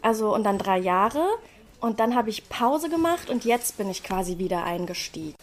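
A woman's voice: mid-range voice, animated, moderate pace. A woman, a stage actress, chatting casually in an interview, candid and slangy, often adding small tag questions.

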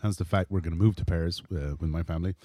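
A Swedish man in his forties talks casually as a guest. His voice sits low and sounds warm and mellow, and he restarts his sentences as he goes. The recording is dry and close. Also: no room sound. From northern Sweden. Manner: relaxed, halting with frequent restarts. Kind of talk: casual chat.

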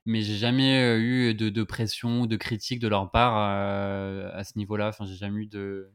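A man's voice: on the low side, little pitch movement, normal speed, clear, resonant tone. A young man from France chatting casually about his student exchange, hesitating often with fillers and restarts. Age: young adult. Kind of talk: casual podcast conversation.

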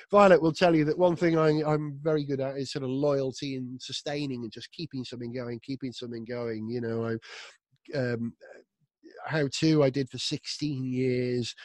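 A man's voice: mid-range voice, dry recording. A middle-aged man from Wales with only a faint accent, chatting animatedly as a guest on a video call. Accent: light, not strongly Welsh; often taken as West Midlands. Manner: animated, enthusiastic storyteller. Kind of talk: casual interview chat. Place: home studio in London.

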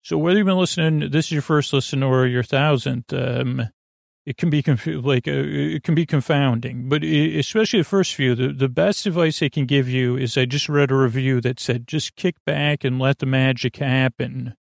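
A man with a creaky voice hosting a podcast in a rambling, halting, casual monologue that keeps trailing off and circling back. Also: mid-range voice, no room sound, studio quality. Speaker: podcast host.

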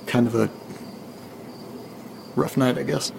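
A man playing a jittery late-night audio diarist, speaking quietly and casually. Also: medium pitch, steady tone, slow pace, gravelly, noisy background. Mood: uneasy, apologetic. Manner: hushed, conversational, informal. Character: jittery, foul-mouthed man recording a late-night spooky audio diary. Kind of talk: confessional audio-diary horror fiction, casual.

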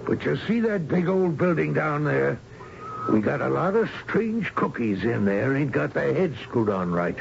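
An actor playing a gruff, domineering security enforcer in blunt, ungrammatical street talk in a 1970s radio drama. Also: male voice, fairly high pitch, big pitch swings, a little slow, exaggerated voice. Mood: bullying, suspicious. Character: gruff, bullying male security enforcer with attack dogs. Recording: dull, noisy old radio recording.

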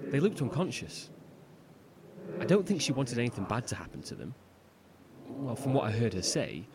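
A man as an earnest witness in a horror drama. His medium pitch moves around a lot as he explains things reassuringly over clear background noise. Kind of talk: horror audio drama acting.

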